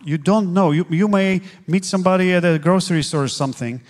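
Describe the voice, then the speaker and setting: Polish man telling his story, halting. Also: sits high. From Poland. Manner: earnest, halting, many fillers. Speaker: guest pastor. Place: church stage, seated in armchair. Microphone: handheld mic.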